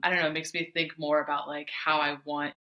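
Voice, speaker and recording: A woman's voice: low-pitched, level tone, fairly quick, dull audio. A woman co-hosting a podcast interview, talking casually and with some hesitation, using "like" and "I don't know" often.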